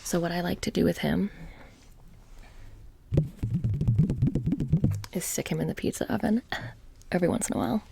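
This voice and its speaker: A woman playing a playful, menacing witch shopkeeper in a soft-spoken ASMR roleplay, breathy and often whispering, with a casual deadpan delivery. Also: lowish voice, very fast, very noisy. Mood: conspiratorial, mock-sinister. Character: playful, menacing, petty witch shopkeeper selling haunted trinkets.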